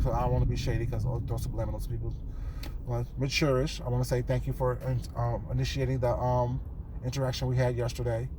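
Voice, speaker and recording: Groggy guy rambling into his phone while driving to work, super casual, car noise all around. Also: mid-range voice. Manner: relaxed, repetitive, says "you know what I'm saying" constantly. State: groggy morning voice. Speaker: vlogger. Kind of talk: casual rambling vlog monologue. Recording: car and road noise behind.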